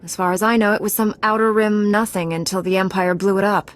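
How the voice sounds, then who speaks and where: Actress as heroic female space knight, mid-pitched, firm, direct, self-assured, video game. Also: steady tone, a little fast, soft background music, lightly acted. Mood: composed, frank. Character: resolute, candid young female Jedi knight. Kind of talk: scripted video game dialogue.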